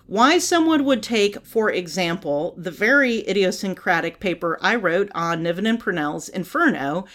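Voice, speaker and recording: A woman's voice: medium pitch, animated, normal speed, hardly any pauses, a bit bright. An older woman from the United States hosting her own podcast, talking casually with many fillers, restarts and wry laughs. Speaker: podcast host. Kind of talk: casual solo commentary.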